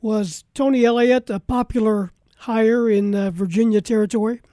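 A man's voice: high-pitched, fairly even intonation, moderate pace, mellow, no room sound, clean studio sound. A man hosting a live sports talk radio show, interviewing guests and leading into breaks.

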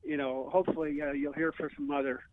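A man's voice: on the high side, fast, no room sound. An older American man reminiscing casually as a radio phone-in guest, rambling with plenty of verbal filler. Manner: rambling, peppered with "you know". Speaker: phone-in guest, retired teacher. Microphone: telephone. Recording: tinny narrowband phone line.

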